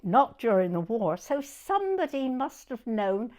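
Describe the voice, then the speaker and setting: Woman in her nineties, southern English, animated swooping pitch, unhurried, chatty oral history reminiscence. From southern England. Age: 90s. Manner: chatty, animated, repeats herself. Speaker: interviewee recalling her youth.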